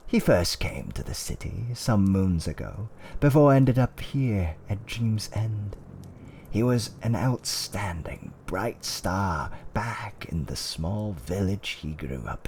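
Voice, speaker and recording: A man playing an older fantasy tavern owner, telling a dark fireside tale in a theatrical, suspenseful roleplay ASMR style. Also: sits low, slight background noise, full character voice. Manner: theatrical, archaic wording, drawn-out suspenseful delivery.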